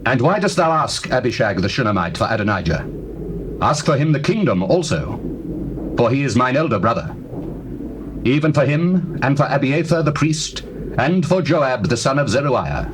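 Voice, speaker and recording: An actor playing a stern young king pronouncing judgment in a regal, measured, forceful manner in dramatized scripture. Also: mid-range voice, big pitch swings, close to an everyday voice. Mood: indignant, wrathful. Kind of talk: dramatized scripture, classical stage acting. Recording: noisy, hissy old cassette tape.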